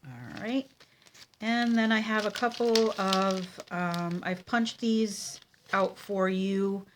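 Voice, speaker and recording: A woman with a stuffy, nasal voice from a cold, teaching card-making at her craft table at home. She talks slowly in a relaxed, chatty way, with frequent ums and pauses. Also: mid-range voice, slight background noise. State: congested with a cold. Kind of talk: casual craft tutorial.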